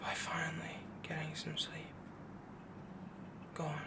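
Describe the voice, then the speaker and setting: A man voicing a doting, possessive young wolf boy mate in a soft, intimate, breathy whisper for an ASMR boyfriend roleplay. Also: a little high, monotone, very noisy, close to an everyday voice. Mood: soothing. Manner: slow, intimate, cooing, rambling devotion.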